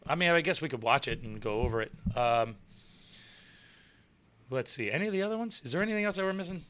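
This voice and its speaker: A young man chats loosely on a live stream and answers viewers as he goes. His voice is bright and forceful. He rambles, repeats himself and chuckles often. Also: medium pitch, expressive, fast. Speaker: live show host and pro wrestler. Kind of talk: casual live-stream chat reading viewer comments.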